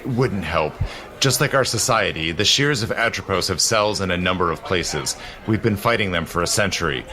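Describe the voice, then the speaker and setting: A man's voice: low-pitched, animated, normal speed, pushed and bright. An actor playing a grave, urgent male protector in an audio drama, earnest and matter-of-fact. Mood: grave explaining.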